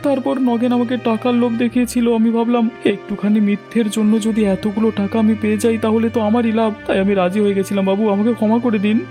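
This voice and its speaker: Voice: mid-range voice, little pitch movement, quick pace, hardly any pauses, dark tone, close to an everyday voice. An actor playing a gullible, greedy village man in a Bengali cartoon, with a whiny, pleading manner. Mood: confessing. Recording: music behind the voice.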